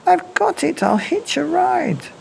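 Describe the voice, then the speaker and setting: A tiny female sea snail from a bedtime storybook, acted out by a man, speaks in a raised, high voice. She is wistful, eager and brave. There is faint noise behind the voice. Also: big pitch swings, measured pace, gravelly, full character voice. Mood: excited. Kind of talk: bedtime picture-book storytelling.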